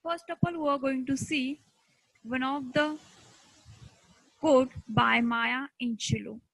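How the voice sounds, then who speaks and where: Female lecturer, Indian accent, bright forceful tone, dry close sound, deliberate delivery. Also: high voice, creaky, no room sound, low-quality recording. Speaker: teacher. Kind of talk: instructional lecture.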